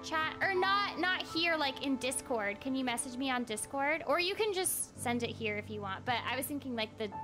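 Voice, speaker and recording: A young woman chatting casually and brightly animated while livestreaming a game. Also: high voice. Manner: bubbly, animated, giggly. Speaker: game streamer. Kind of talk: casual livestream gameplay chat. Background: game music.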